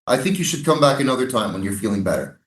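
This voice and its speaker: An actor in a live staged reading playing a menacing, insinuating American military commander at a prison camp. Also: male voice, mid-range voice, very animated, fast, reverberant, slight background noise, close to an everyday voice. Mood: officious.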